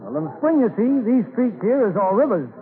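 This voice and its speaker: A man's voice: extremely high pitch, big pitch swings, fairly quick, crowd noise. An actor playing a folksy, eager gold-rush townsman in 1930s radio drama, with rustic, ungrammatical speech. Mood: earnest, insistent. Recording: tinny, band-limited old radio recording.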